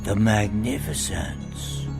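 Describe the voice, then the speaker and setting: A man playing a wry, animated sailor and travelling companion in a radio play, with a creaky voice. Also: on the low side, slow, over loud music, exaggerated voice. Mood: wry, hushed.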